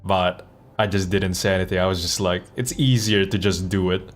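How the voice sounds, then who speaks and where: A man giving casual live game commentary as a streamer, talking in a halting, reflective way. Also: deep voice, level tone. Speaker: streamer and voice actor. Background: game music.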